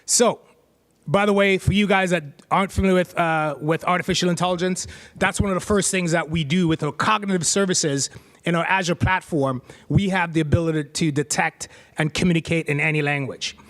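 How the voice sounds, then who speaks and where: High-voiced playful man demoing on stage. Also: steady tone, a little fast, a bit bright. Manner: playful, chatty, jokes with the crowd. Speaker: presenter giving a tech demo. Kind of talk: live stage demo to an audience. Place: stage at a tech meetup. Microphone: handheld mic.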